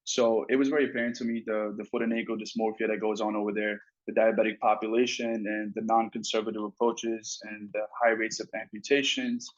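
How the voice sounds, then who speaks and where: A man's voice: fairly low pitch, level tone, a little fast, hardly any pauses, lo-fi sound. A young man from Pakistan, a podiatry student, explaining his field earnestly in an interview with some fillers. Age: young adult. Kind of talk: interview answers.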